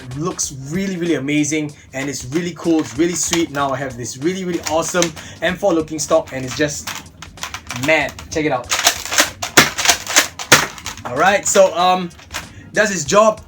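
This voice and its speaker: A man's voice: on the high side, normal speed, background noise. A young man, hosting a casual product review vlog, chatty and upbeat with lots of repeated "really, really", filmed seated at a desk in a small room. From Singapore. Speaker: host of a toy blaster review channel.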